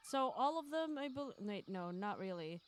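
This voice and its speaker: A woman's voice: mid-range voice, big pitch swings, normal speed. A woman hosting a stream in casual chat, rambling and hesitating as she goes. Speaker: streamer hosting a group visual novel stream.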